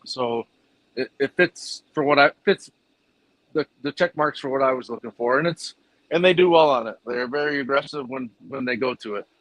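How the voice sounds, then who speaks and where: A man's voice: medium pitch, normal speed, a bit bright, no room sound, low-quality recording. A man who runs a fish food business, answering interview questions in a plainspoken, rambling way with fillers.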